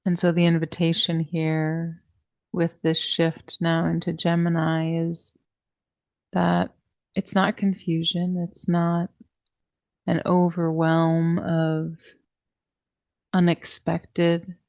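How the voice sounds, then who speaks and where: A woman teaching a guided meditation class, speaking in a soothing, deliberate way with long pauses and a soft-edged, creaky voice. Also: on the low side, monotone, muffled recording. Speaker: yoga nidra meditation teacher.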